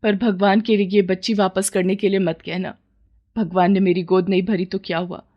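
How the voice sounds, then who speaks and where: A childless village woman played by a female narrator pleads her case with longing and stubborn self-justification. She belongs to a dramatized moral story and speaks in a medium-pitched voice. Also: little pitch movement, normal speed, highs cut off, lightly acted. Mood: defensive pleading. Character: childless village woman, yearning, scheming, defensive new mother.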